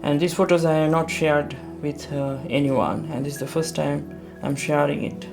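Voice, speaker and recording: A middle-aged man from Sri Lanka, recalling his war memories in a hesitant documentary interview with many pauses and restarts. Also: fairly high pitch, fairly even intonation, creaky. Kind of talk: documentary interview, personal recollection. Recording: echoey room with faint music.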